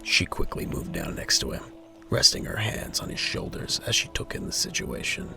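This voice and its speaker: A man reading scripted narration for a military audio drama as its narrator. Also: normal speed. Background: music.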